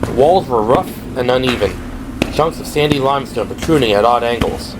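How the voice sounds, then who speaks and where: A man's voice: medium pitch, fairly quick. A man reading scripted dramatic narration for an audio drama. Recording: clear background noise and ambience. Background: ambient sound effects.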